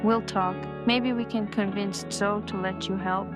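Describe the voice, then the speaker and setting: A woman playing a calm, wise and candid character in a scripted drama. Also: medium pitch, measured pace, close to an everyday voice. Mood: calm, warm. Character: calm, wise, candid Native Alaskan police chief's daughter. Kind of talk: scripted audio drama dialogue. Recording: loud guitar music under the voice.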